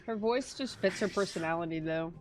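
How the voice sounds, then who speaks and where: A woman's voice: lowish voice, brisk. A woman game streamer chatting casually as she reacts during a livestream. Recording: faint game music behind. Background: game music.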